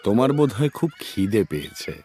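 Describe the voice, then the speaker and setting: An actor voicing a strict older teacher in a cartoon, speaking sternly in a measured, lecturing manner. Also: male voice, fairly low pitch, very animated, dark tone, a little hiss or hum. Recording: music playing behind the voice.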